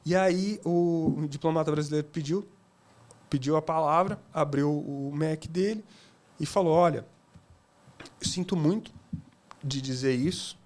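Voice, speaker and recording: Guy telling stories on a podcast, voice sits kinda high, acts out quotes, laughs a lot. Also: measured pace, pauses often, darkish tone. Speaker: podcast guest. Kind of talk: casual storytelling.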